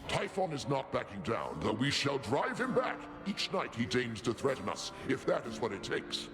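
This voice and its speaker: A man's voice: medium pitch, wildly swooping pitch, moderate pace, music in the background. A man playing a commanding king of the gods in a fantasy game, pompous, lordly and formal in manner. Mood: defiant.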